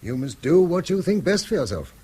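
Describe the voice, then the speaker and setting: A man's voice: fairly high pitch, big pitch swings, fairly quick, mellow, husky. A man acting a weary, bitter middle-aged schoolmaster and father in a British radio drama, speaking eloquently and self-reproachfully in a British accent. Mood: resigned, wry. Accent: British English. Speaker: actor.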